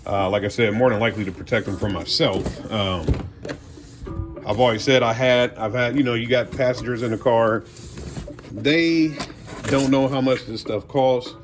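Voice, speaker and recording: A man hosting a car audio vlog, talking casually and colloquially, addressing viewers as y'all and man. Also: mid-range voice. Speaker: car audio channel host. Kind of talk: casual product unboxing talk.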